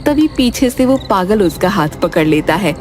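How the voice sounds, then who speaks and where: A woman's voice: mid-range voice, lively intonation, moderate pace. A woman giving scripted narration for a cartoon village tale. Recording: music behind the voice.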